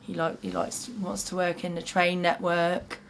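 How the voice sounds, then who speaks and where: A woman with an English accent, an advocate, talking chattily and warmly about the young man she supports, with frequent fillers. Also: lowish voice, level tone, normal speed, gravelly. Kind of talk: interview testimony.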